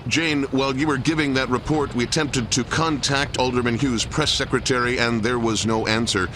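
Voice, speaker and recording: An actor playing a composed TV news anchor, speaking in a formal, measured, authoritative broadcast style. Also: male voice, medium pitch, steady tone, noisy background, lightly acted. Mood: composed, serious. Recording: music behind the voice.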